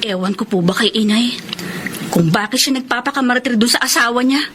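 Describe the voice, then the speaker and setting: A woman's voice: a little high, fairly quick, close to an everyday voice. A woman playing a polite, dutiful young daughter. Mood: earnest. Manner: respectful, soft-spoken. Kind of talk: radio drama acting. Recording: noisy background ambience.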